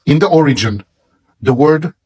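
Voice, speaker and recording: A man with a Hebrew accent, a native Hebrew speaker, presenting and explaining in English with deliberate, halting phrasing in short pieces and a husky voice. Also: a little high, expressive, a little mellow, no room sound. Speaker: presenter showing a book. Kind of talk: slow explanatory product presentation with read-out verses.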